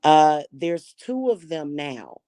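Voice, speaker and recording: A woman's voice: sits low, very animated, takes it slowly, mellow, clear, resonant tone, no room sound. Blunt, scornful woman with a Missouri accent. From Kansas City, Missouri. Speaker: spiritual practitioner and channel host. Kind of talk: casual monologue to viewers.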